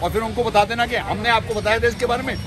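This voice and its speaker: A middle-aged man co-hosting a food vlog, telling stories in an animated, emphatic way on an outdoor street. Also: extremely high pitch, fast talker. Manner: animated storyteller, explains with emphasis. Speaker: food show co-host. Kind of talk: casual storytelling in a food vlog. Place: busy street market outdoors. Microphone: phone camera at close range.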